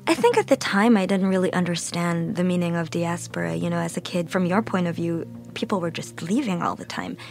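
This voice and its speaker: A woman speaks in a fairly low voice with noticeable vocal fry. She is an interview guest, talking reflectively and pausing as she finds her words. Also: a little mellow, faint music behind. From Philippines (Manila).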